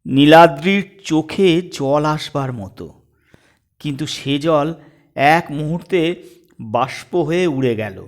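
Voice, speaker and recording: A man's voice: fairly high pitch, slow, long pauses. A man narrating a scripted comic story as a storyteller.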